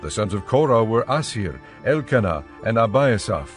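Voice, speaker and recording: A man with a lowish voice narrates scripture in a measured, formal way. Faint music sits behind his scripted reading. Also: sing-song.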